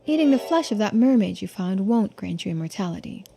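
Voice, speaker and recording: Woman as female investigator, mellow tone, animated intonation, polite, teasing, formal, poised, video game character. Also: medium pitch, fairly quick, gravelly, slight background noise. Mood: matter-of-fact. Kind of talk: game character voiced in a playthrough.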